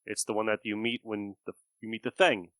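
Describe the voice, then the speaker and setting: A man's voice: lowish voice, flat delivery, brisk, fairly bright tone, no room sound. A man hosting a podcast in casual review chat, blunt and free with swearing. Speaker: podcast host.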